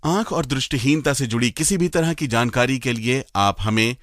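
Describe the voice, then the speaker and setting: A man hosting a radio show, announcing in a clear, scripted way. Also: medium pitch, moderate pace, dry recording, clean studio sound.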